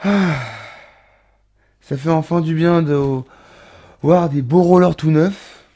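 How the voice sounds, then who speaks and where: Casting: thirty-year-old male vlogger, warm low-key tone, rambling friendly delivery with lots of "euh". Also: fairly even intonation, slow. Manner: chatty, many fillers and restarts, addresses viewers as little rabbits. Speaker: roller skating vlogger. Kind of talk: casual unboxing vlog. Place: home studio.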